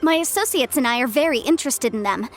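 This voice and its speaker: A poised young legal advisor in a game scene, her high voice lively and full of swoops. She speaks politely and precisely while soft music plays underneath. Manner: polite, measured, businesslike. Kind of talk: game cutscene voice acting.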